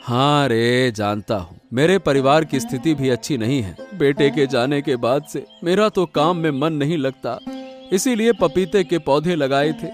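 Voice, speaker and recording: A man's voice: fairly high pitch, a little slow, lightly acted. A man playing a poor, bereaved village farmer in a Hindi cartoon story, with earnest, emotive, folksy delivery. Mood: weary. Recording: music playing behind the voice.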